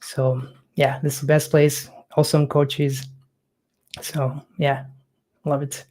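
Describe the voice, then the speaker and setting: A man's voice: fairly high pitch, level tone, darkish tone. Guy coach, young, lots of ums and uhs, casual chat. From Hungary. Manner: halting, many fillers, non-native phrasing.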